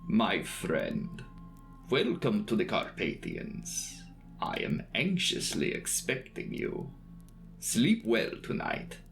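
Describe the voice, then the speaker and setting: A man voicing a courtly, sinister old count in a gothic audiobook, speaking slowly and formally. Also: on the low side, huge pitch swings, vocal fry, over music, voice pushed down, full character voice. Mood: stately welcome. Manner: slow, formal, deliberate.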